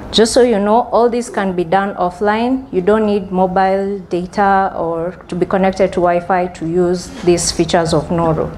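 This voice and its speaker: A woman's voice: medium pitch, a little mellow, slight background noise. A young woman giving a conference presentation from a podium, explaining steadily with some ums and restarts. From Kenya. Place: large conference hall with stage. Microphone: podium microphone.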